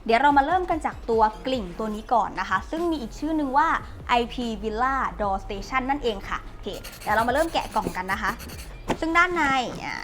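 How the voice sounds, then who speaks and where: A woman's voice: fairly high pitch, a little fast. A young woman politely explaining a product as she unboxes it for a review. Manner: polite, explanatory, steady walk-through. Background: faint background music.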